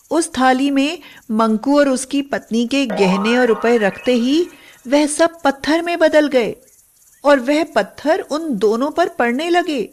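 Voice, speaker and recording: A woman narrating a scripted moral story in an expressive, deliberate storytelling manner. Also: medium pitch.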